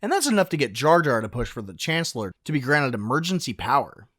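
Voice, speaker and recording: A man's voice: mid-range voice, big pitch swings, quick pace. A man narrating a film recap casually, with a wry, sarcastic, joking delivery.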